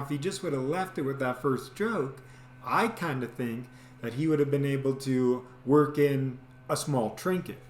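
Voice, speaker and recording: A man telling a casual, comedic personal story as a host, animatedly acting out bits as he goes. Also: mid-range voice. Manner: animated, acts out quoted lines and sound effects. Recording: echoey, roomy sound.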